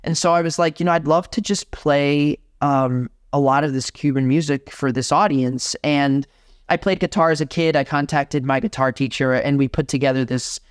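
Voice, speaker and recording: A young adult man from Miami, Florida, a guest organist chatting in an interview, animated and full of restarts. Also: on the high side, moderate pace, clean tone, dry recording, studio quality. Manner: animated, talks with many restarts. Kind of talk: casual interview conversation.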